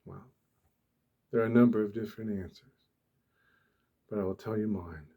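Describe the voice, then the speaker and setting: A man speaking softly and deliberately, with long pauses, guiding a meditation in a gentle ASMR style. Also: lowish voice, fairly even intonation. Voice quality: soft, hushed. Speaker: ASMR host, meditation guide. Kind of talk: soft-spoken ASMR guided meditation.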